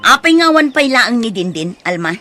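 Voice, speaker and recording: A woman's voice: fairly high pitch, sing-song, moderate pace, pushed and bright, faint music behind. A woman playing a harsh, short-tempered mother and madam in an audio drama, scolding imperiously with a Filipino accent. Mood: angry, demanding.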